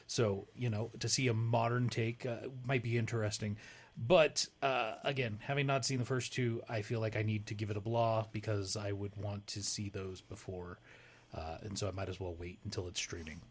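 A man on a movie review panel who talks haltingly, with many pauses and filler sounds. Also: on the low side, steady tone, breathy edge. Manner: hesitant, many uhs. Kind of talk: casual movie review chat.

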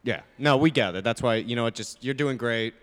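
A man's voice: mid-range voice, a little fast, fairly bright tone, big-room sound. A man in improvised radio comedy banter, talking in a placating, stop-start way.

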